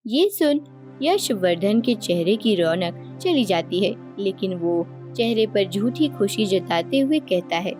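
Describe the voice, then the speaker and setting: A woman's voice: medium pitch, animated, measured pace, darkish tone. A woman narrating a scripted cartoon story. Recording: music under the voice. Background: background music.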